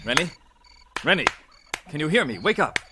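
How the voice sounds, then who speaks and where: A man acting a calm, commanding adventure hero in a radio serial, speaking in measured, precise phrases. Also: a little high, heavy background noise. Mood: urgent, steady. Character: calm, commanding heroic male adventurer and leader.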